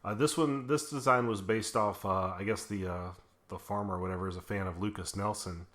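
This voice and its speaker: A man hosting a podcast, chatting casually in a slightly hoarse, raspy voice, rambling with lots of fillers. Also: lowish voice, steady tone, a little fast, fairly bright tone. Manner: rambling, many fillers and restarts. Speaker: podcast host. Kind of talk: casual chat.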